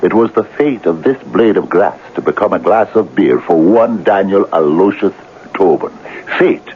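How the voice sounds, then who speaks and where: An actor playing a grandiloquent, persuasive pal who philosophizes in florid, pompous speeches in a radio drama. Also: male voice, low-pitched, lively intonation, moderate pace. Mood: grandly oratorical. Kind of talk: 1970s radio drama acting. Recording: tinny, noisy old radio broadcast.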